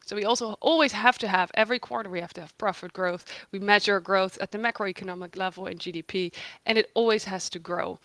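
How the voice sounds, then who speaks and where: A woman from the Netherlands speaks as an interview guest with a mid-pitched voice. She explains things in a conversational, thoughtful way with firm emphasis, and the recording is dry, with very little room sound. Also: steady tone, fast, fairly bright tone, no echo. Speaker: guest, economist and researcher.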